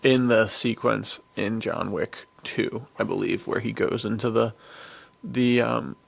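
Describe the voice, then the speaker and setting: Man with very crackly, gravelly voice, chatting. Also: lowish voice, fairly even intonation, a little slow. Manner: enthusiastic, rambling, chuckling often. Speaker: podcast co-host. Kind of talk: casual chat about action movies.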